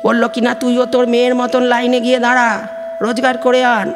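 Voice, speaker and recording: A woman's voice: on the high side, completely flat delivery, quick pace, in a large hall, lightly acted. A woman voicing a worried, complaining elderly village mother in a colloquial, lamenting audio drama style. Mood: aggrieved. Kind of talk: audio drama recitation of a phone dialogue. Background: music.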